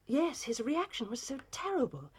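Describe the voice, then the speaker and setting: A woman's voice: sits high, wildly swooping pitch, moderate pace, darkish tone, husky, exaggerated voice. An actress playing an anguished, proud titled Victorian lady in a period drama, formal and emotionally intense. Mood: anguished remorse.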